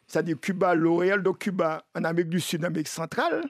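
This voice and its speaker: A Martinican man with a high, gravelly voice hosts a radio discussion. The sound is dry and close. He explains deliberately, circling back over his phrases. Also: fairly even intonation, dry recording. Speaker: radio host. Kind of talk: live radio discussion and interviewing.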